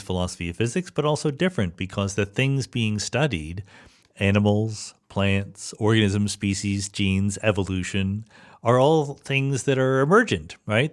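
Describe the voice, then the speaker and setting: Low-voiced man hosting a thoughtful podcast. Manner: reflective, casual, rhetorical questions. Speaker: podcast host. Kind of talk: conversational podcast introduction and interviewing.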